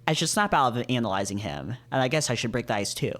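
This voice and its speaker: A man's voice: medium pitch, lively intonation, fast talker, fairly bright tone. A man streaming a casual read-aloud of visual novels, playfully breaking off into jokey, questioning asides.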